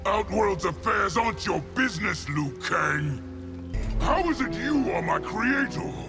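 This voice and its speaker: An actor playing a defiant, aggressive rebel general in a fighting video game, accusing and bitter. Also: male voice, sits high, expressive, unhurried, creaky, music in the background, heavily acted.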